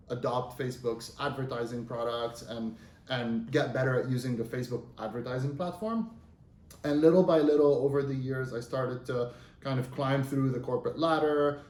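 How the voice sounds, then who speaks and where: A man in his thirties from Lebanon, a native Arabic speaker, talks through his career as an interview guest. His voice sits at an ordinary middle pitch for a man. He speaks loosely and conversationally, with frequent fillers and restarts, and the room adds a noticeable echo. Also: a little mellow, a little hiss or hum. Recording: roomy echo.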